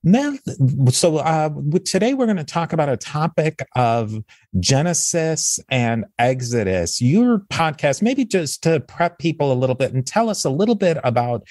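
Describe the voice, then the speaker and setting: A man's voice: fairly high pitch, very animated, moderate pace, darkish tone, no room sound, studio quality. A man hosting a history podcast, reading thanks to supporters and then chatting earnestly with a guest. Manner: earnest, with fillers and restarts. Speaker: podcast host. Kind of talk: casual podcast conversation.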